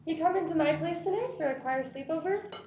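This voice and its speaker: A woman playing a casual, slangy high school teenager in a screenplay table read. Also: a little fast. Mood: friendly. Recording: echoey, dull, noisy room recording.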